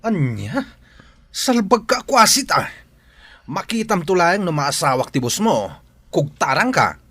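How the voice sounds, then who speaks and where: A man's voice: a little high, very animated, a little slow, sharp tone, breathy edge, dry recording, full character voice. A man with an Ilocano accent playing a pushy, boastful troublemaker in an audio drama, taunting and insulting. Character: brash male troublemaker, mocking, pushy and boastful.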